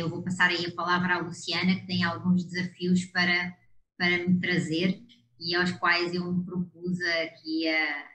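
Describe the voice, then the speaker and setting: A woman from Portugal with a European Portuguese accent, hosting a conversation show in a measured, assertive way with frequent hesitations. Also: on the low side, fairly bright tone, reverberant, rough recording. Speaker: host.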